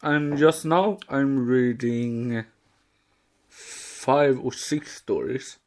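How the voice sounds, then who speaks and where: A man, a native Swedish speaker, co-hosting a podcast in casual, halting non-native English. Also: mid-range voice. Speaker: podcast co-host. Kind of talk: casual chat.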